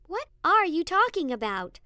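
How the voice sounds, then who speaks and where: A woman's voice: very high voice, very animated, normal speed, pushed and bright, dry recording, clean studio sound, heavily acted. A woman voicing a curious, concerned young girl with a polite, questioning manner in a storybook reading. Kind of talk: audiobook character acting.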